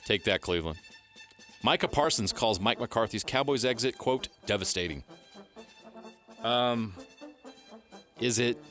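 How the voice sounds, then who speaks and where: A man hosting a sports radio show, briskly reading headlines and teasing his co-host. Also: mid-range voice, lively intonation, fairly bright tone, soft background music.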